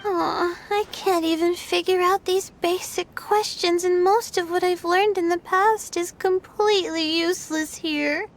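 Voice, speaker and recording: A woman's voice: extremely high pitch, steady tone, unhurried, few pauses, slight background noise. A woman playing an anxious, hesitant young female student in a video game. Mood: worried. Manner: hesitant, fretful. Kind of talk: video game character acting.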